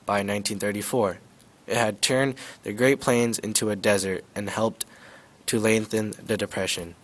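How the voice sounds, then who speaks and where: Young man, student newsreader, low-ish voice, quick loud reading. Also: steady tone. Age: teens. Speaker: student news reporter. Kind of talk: school project news report.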